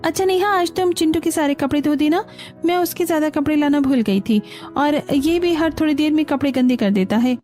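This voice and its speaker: A woman plays a bossy young mother in a family cartoon. She hands out orders in a high voice over light music. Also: a little fast, darkish tone, lightly acted. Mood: bossy, casual. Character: bossy, idle young mother, a visiting sister-in-law. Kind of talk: Hindi cartoon dialogue.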